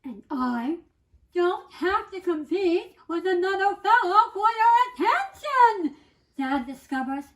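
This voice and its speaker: A woman with a strikingly high voice performs a comic monologue in a theatrical style. Her pitch swings widely from phrase to phrase. She takes her time, leaning on dramatic, emphatic pauses. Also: big pitch swings. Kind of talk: theatrical first-person comic monologue reading.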